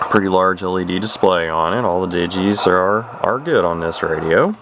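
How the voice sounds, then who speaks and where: Middle-aged male hobbyist, very low voice, plainspoken and rambling, casual demo over clear radio noise. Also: moderate pace. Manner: folksy, plainspoken, hesitant and rambling. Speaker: vintage radio hobbyist and demonstrator. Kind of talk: casual unscripted product demonstration. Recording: noisy, with radio static behind. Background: CB radio noise from its speaker.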